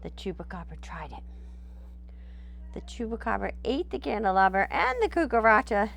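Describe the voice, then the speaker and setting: A woman's voice: medium pitch, big pitch swings, moderate pace, creaky, fairly bright tone. A woman reading a picture book aloud expressively, with explanatory asides, checking in with her listeners as she goes.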